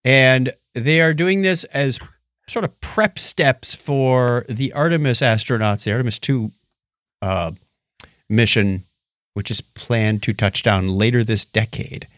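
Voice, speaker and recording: A man's voice: medium pitch, slow, fairly bright tone, no echo, clean studio sound. A man co-hosting a podcast, chatting casually through science news with jokey, wry asides. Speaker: podcast co-host. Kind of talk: casual science news chat, paraphrasing articles.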